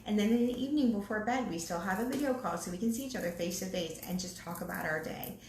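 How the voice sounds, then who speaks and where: おしゃべりな女性の会話、響く部屋. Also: female voice, medium pitch, lively intonation, darkish tone. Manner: chatty, quick, self-described chatterbox. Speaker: ministry co-host. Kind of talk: casual marriage talk. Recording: echoey room, faint background noise.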